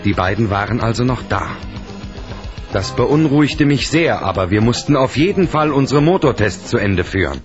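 A man's voice: mid-range voice, expressive, moderate pace, lightly acted. A man playing a composed first-person storyteller, reading scripted narration for a radio play. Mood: composed, reflective. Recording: dull old cassette, faint music behind.

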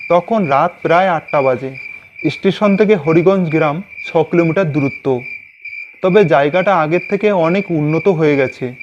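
A man's voice: on the high side, darkish tone, dull audio, a little hiss or hum. A man narrating a scripted ghost story in the first person. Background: background music.

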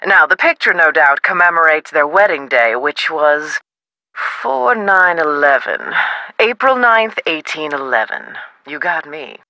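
A woman playing a self-assured, scholarly researcher in a video game, precise and theatrical with deliberate emphasis. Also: sits low, lively intonation, bright, forceful tone, dry recording, exaggerated voice, low-quality recording. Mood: brisk, learned.

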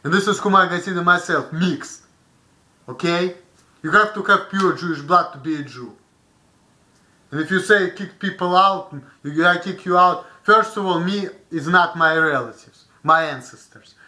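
A young man with a Russian accent rants into his webcam from a small bedroom, heated and swearing. His voice is bright and piercing, pitched a little high for a man. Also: moderate pace. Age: young adult. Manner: heated, profane, gesturing a lot. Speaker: vlogger. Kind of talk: angry webcam rant. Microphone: webcam built-in mic.

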